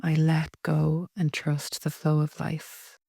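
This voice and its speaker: A woman leading a guided relaxation meditation in a soft, breathy, soothing way with long pauses. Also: low voice, monotone, dry recording, studio quality. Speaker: yoga nidra meditation guide.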